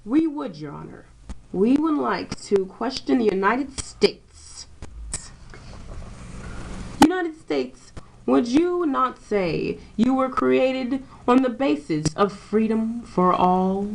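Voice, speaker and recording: Young woman as an animated, earnest lawyer, noisy recording. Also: a little high, slow, creaky, exaggerated voice. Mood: earnest, challenging. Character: earnest, pressing defence lawyer in court. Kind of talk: scripted amateur puppet show acting. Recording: noisy amateur recording with clicks.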